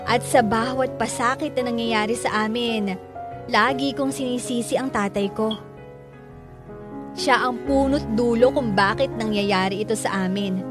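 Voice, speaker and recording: A man's voice: very high voice, fairly even intonation, unhurried. An actor with a Filipino accent playing a bitter, hurt son who narrates his own story in a radio drama. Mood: bitter, pained. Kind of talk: dramatic first-person narration. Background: music.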